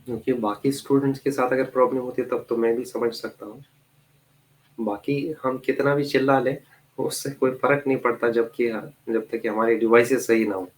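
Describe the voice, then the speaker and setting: Slightly hoarse male online teacher. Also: mid-range voice, fairly even intonation, moderate pace, low-quality recording. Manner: patient, calls students "beta", repeats key terms. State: slight cold, a bit hoarse. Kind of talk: online class lecture.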